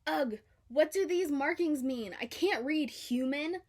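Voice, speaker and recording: A woman voicing an anxious, stern father fish in a storybook, with forceful exclamations. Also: high-pitched, measured pace, voice pushed up. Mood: frustrated.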